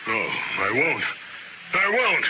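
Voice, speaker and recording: An actor playing a proud, anguished older rancher and father in a 1950s radio western, forceful and defiant. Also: mid-range voice, huge pitch swings, slow pace. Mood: angry, defiant. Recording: noisy, muffled old radio broadcast.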